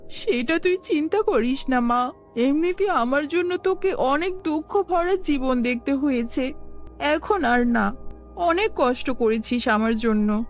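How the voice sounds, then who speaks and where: A woman voicing a poor, gentle, worried village mother in a cartoon fairy tale, earnest and pleading. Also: sits high, expressive, slow, faint music behind. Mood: tender, reassuring.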